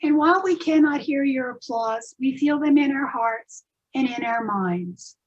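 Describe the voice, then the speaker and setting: Woman hosting kids readers theatre, slow n measured, bit raspy, muffled lo-fi audio. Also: high-pitched. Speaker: host and presenter of a readers theatre. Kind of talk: scripted introduction to children's stories.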